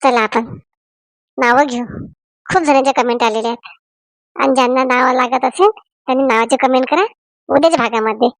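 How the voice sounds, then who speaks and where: A woman's voice: high voice, a little fast, long pauses, no room sound. A woman addressing viewers in a scripted channel sign-off. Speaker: channel presenter.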